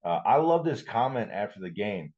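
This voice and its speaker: A man's voice: fairly low pitch, big pitch swings, normal speed. A man co-hosting a sports podcast, chatting casually and informally, on a slightly muffled recording. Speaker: podcast co-host.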